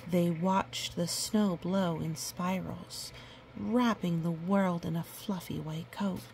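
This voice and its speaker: Slow-paced woman reading to a child. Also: lowish voice, creaky, slight background noise, low-quality recording. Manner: animated, explaining, repeating words for emphasis. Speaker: children's picture book reader. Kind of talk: expressive read-aloud with explanatory asides to a young child.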